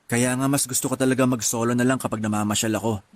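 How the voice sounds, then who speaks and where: An actor in a radio drama playing a soft-spoken, laid-back young male traveler who casually mixes English into his Tagalog. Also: mid-range voice, steady tone, fast, lightly acted. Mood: easygoing.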